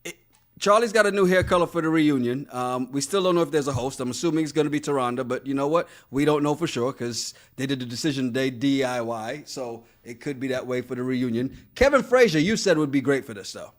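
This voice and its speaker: A man's voice: medium pitch, fairly quick. A man co-hosting a casual podcast, chatting loosely, reading viewer comments aloud, laughing often and repeating words. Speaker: podcast co-host. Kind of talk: casual chat reading live chat.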